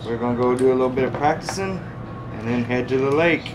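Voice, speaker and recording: Man, father, from Southern Illinois, patient step-by-step coaching, medium pitch, camera mic, faint background noise. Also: fast talker. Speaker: father filming a toy review. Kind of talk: casual home video instruction.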